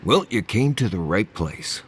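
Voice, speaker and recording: Curmudgeonly male game guide: low register, lively inflection, dry wit, reluctant helper. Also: unhurried, a little hiss or hum. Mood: sardonic. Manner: deadpan, curmudgeonly, sardonic. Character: gruff, grudging, dry-witted male wilderness guide. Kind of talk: conversational video game voice acting.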